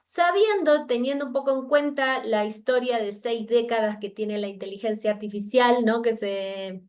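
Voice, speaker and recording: A woman with an Argentine Spanish accent speaks to students in a university video lecture. Her voice sits a little high for a woman. She talks thoughtfully, with fillers and restarts along the way. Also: moderate pace, darkish tone. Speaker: university researcher giving a talk. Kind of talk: academic lecture by video conference.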